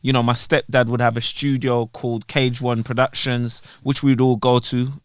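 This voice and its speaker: A man's voice: mid-range voice, flat delivery, normal speed, smooth, no room sound, studio quality. A man with a London accent, originally from Jamaica, telling his story as a podcast guest in a laid-back, rambling way with street slang. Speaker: guest musician. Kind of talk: casual podcast interview. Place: podcast studio.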